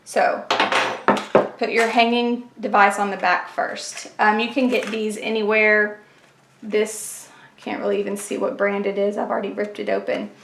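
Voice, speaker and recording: A woman walks through a craft project step by step in a chatty, practical way, her voice worn by a cold. She talks at an ordinary middle pitch. The room is echoey, with faint clatter in the background. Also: moderate pace, fairly bright tone, a little hiss or hum. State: fighting a cold, losing her voice. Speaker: craft channel host. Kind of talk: craft tutorial.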